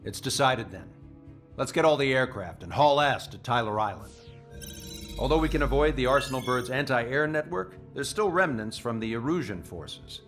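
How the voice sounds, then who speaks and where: A man's voice: medium pitch, animated, normal speed, a bit bright, faint music behind, close to an everyday voice. A man playing a decisive fighter pilot squadron leader in a video game cutscene. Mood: decisive, commanding. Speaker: squadron leader giving a briefing.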